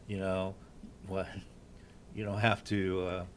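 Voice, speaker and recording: A man's voice: deep voice, monotone, takes it slowly, a little hiss or hum. Hesitant, teasing man on a webcam chat. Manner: hesitant, many fillers and restarts, teasing. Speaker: co-host of a couple's live chat. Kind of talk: casual live-stream chat. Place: small room at home, indoors.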